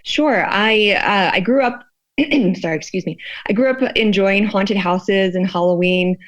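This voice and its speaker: A woman explaining her research in an interview, in a thoughtful, halting, conversational way. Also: mid-range voice. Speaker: guest, sociologist and fear researcher.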